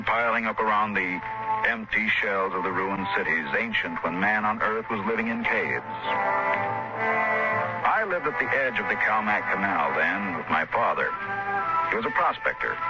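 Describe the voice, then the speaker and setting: A man's voice: lowish voice, close to an everyday voice. A man with an American accent narrating a 1950s radio drama as someone soberly recalling his frontier childhood. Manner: measured, reflective storytelling. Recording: muffled 1950s radio recording. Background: prominent dramatic music.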